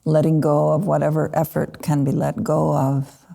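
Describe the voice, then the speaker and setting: A woman teaching a guided meditation, speaking in short, unhurried phrases with gentle pauses. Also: low voice, little pitch movement, gravelly. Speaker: Buddhist meditation teacher (lama).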